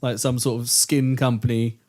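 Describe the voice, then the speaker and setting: A man's voice: mid-range voice, flat delivery, normal speed, darkish tone. A man co-hosting a podcast in casual chat. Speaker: podcast co-host.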